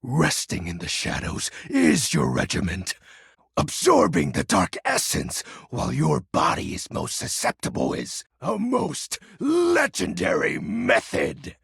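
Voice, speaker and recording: A man voicing a melodramatic, pompous dark lord, darkness personified, in a video game, with a raspy, gravelly voice and grandiose archaic diction. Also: mid-range voice, huge pitch swings, measured pace, no echo, clean studio sound, exaggerated voice. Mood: haughty, scheming. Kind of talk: video game character voice acting.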